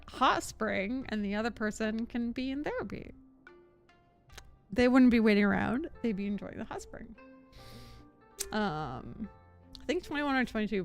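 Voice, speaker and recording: High-voiced giggly woman streaming. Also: creaky. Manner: giggly, rambling. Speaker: live streamer. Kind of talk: casual gaming stream chat. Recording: background game music.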